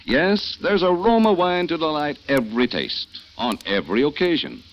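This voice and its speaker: Lively 1940s radio announcer man. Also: normal speed. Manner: bright salesman's pitch, lively. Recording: dull lo-fi 1940s radio with hiss.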